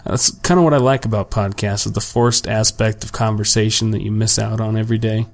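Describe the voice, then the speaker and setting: A young man, slightly raspy from a long day of talking, hosting a solo podcast at home and rambling casually and profanely about his week, with plenty of self-deprecation. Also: lowish voice, fairly even intonation, a little fast. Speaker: podcast host. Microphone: home desk microphone without pop filter.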